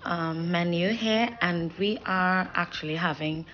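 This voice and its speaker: A woman's voice: fairly low pitch, measured pace, lo-fi sound, a little hiss or hum. A young woman with a Trinidadian accent, chatting casually to the camera as a vlogger. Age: young adult.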